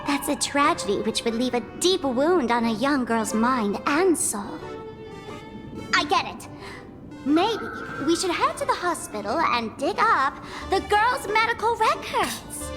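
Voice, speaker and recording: A gleeful high-pitched trickster girl. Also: sing-song, a little slow. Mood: scheming. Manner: teasing, playful, sing-song. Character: mischievous young female trickster, gleeful and theatrical. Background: game music.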